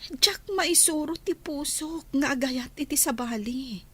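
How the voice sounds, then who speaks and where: High-pitched, flirtatious Ilocano temptress. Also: female voice, pushed and bright. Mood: seductive. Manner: coquettish, coaxing, drawn-out delivery. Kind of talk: Filipino radio drama acting.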